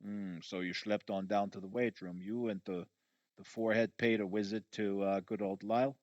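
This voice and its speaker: A man's voice: lowish voice, steady tone, normal speed, darkish tone, no echo, lightly acted. A man voicing a laconic young man who questions his brother in a casual, prodding way, in a novel reading. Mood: wry, prodding. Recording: dull, lo-fi audio.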